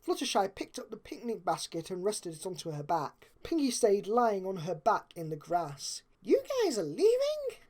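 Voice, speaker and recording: A woman's voice: fairly low pitch, lively intonation, normal speed, few pauses, darkish tone. A woman narrating a story expressively, as if reading a storybook aloud.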